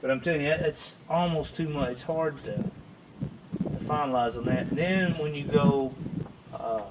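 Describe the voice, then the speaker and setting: A man casually talking to viewers while shooting at an outdoor range, thinking aloud in a folksy, halting way. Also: a little high. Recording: distant voice over loud outdoor noise.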